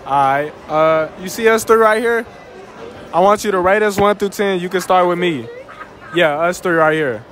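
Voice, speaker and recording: A man's voice: sits high, moderate pace, a bit bright. A young man running a casual street interview, handheld mic in a shopping mall, easygoing and slangy, echoing answers back as questions. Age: young adult.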